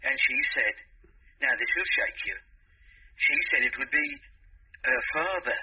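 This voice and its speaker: An actor playing a dutiful junior policeman giving a report, with a husky voice, in a radio drama. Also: a little high, very animated, measured pace, heavily acted. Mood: earnest, reporting. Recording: muffled telephone-style radio drama sound.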